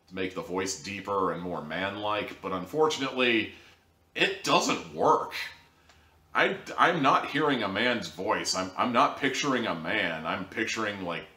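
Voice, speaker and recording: A man's voice: sits low, bright, forceful tone. A man giving a candid, rambling spoken review as host, full of fillers and asides. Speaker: audio drama reviewer. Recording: roomy echo, voice distant from mic.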